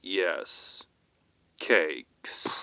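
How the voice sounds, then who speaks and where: An actor playing a confused, deadpan male call-in listener who speaks hesitantly over a filtered phone line. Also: deep voice, very slow, gravelly, no echo, heavily acted. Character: slow, baffled male radio call-in listener. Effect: telephone filter. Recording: muffled, dull telephone line.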